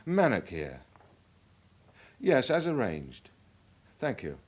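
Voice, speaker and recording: A British police inspector in a radio crime play. He is courteous, measured and relentless, with a fairly low voice and plenty of rise and fall. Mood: calm, calculating. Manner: measured, deferential, insistent. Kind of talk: radio crime drama acting.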